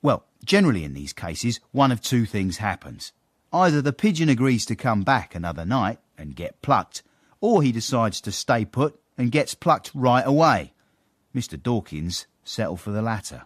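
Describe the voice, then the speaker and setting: An actor playing a wry, knowing gentleman's manservant who narrates a period radio drama in a droll manner. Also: medium pitch, sing-song, moderate pace, no echo, clean studio sound. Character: wry, knowing gentleman's manservant recounting his master's swindles. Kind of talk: in-character storytelling in radio drama.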